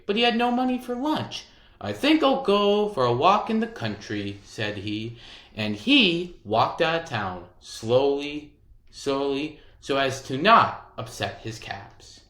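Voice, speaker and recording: A storyteller reading a children's picture book aloud, expressively and deliberately, with dramatic emphasis. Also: medium pitch, fairly bright tone, echoey room.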